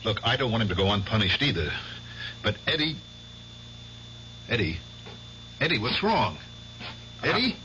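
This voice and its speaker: An actor playing a troubled, cautious middle-aged veteran in a radio drama, earnest and reluctant. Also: male voice, on the low side, big pitch swings, normal speed, pauses often, sharp tone. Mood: uneasy. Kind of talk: 1970s radio drama acting. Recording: muffled old radio recording, faint hum.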